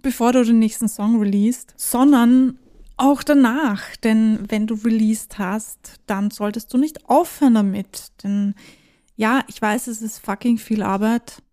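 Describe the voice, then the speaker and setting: Gesprächige Podcasterin mit warmer Stimme. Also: female voice, a little high, steady tone, a little slow. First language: German. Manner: chatty, mixes in English phrases, laughs now and then. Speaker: podcast host. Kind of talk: casual solo podcast talk.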